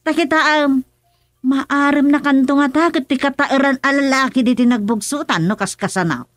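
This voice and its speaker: A woman acting as a talkative, teasing village aunt in a radio comedy drama, with an Ilocano Filipino accent and animated exclamations. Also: sits high, moderate pace, dry recording, natural voice. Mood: coaxing.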